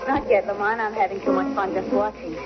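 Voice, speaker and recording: Actress as sympathetic society lady, genteel, medium pitch, 1940s radio acting, dull recording, prominent music behind. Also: a little fast, lightly acted. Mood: light, amused.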